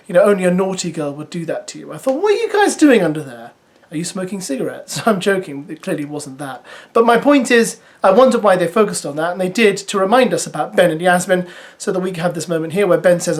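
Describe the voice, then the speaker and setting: Brief: parent vlogger giving reality TV recaps, chatty and wry, middle pitch. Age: adult parent. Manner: chatty, sarcastic asides, lively. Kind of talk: casual spoken commentary to viewers.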